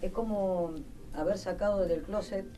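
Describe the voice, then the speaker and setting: A woman with an Argentine accent hosting a conversational radio interview, attentive and quick to agree in short remarks. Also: medium pitch, steady tone, dark tone, far from the mic, low-quality recording. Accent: Rioplatense Argentine Spanish. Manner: attentive, frequent short affirmations. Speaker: radio host. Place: radio studio.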